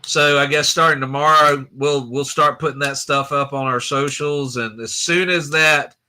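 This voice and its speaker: A man's voice: fairly high pitch, fairly even intonation, moderate pace, pushed and bright. A man co-hosting a casual stream, easygoing and upbeat as he wraps up the show. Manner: easygoing, upbeat, wrapping things up. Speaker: co-host. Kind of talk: casual roundtable chat. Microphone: remote stream mic.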